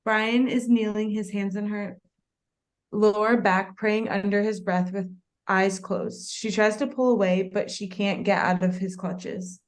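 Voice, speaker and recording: A woman's voice: medium pitch, fairly even intonation, measured pace. A woman reading out screenplay stage directions as plain scripted narration at a table read.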